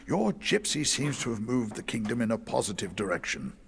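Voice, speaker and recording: A man's voice: medium pitch, sing-song, a little fast, creaky, a bit bright, slightly rough, full character voice. An actor playing a shrewd, deferential royal court advisor in an audio drama, formal and wry in his delivery.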